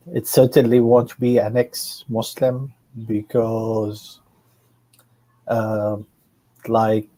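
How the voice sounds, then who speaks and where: Man, unhurried and thoughtful, mellow low voice, dry close sound; chatting guest on a video call. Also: dry recording, low-quality recording. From Syria. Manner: slow, hesitant, reflective. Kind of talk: casual conversation.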